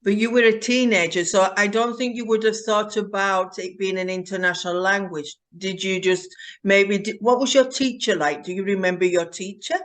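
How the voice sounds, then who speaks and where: A woman hosting an English-learning interview, asking slow, clear, teacherly questions over a video call. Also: medium pitch, fairly even intonation. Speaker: host.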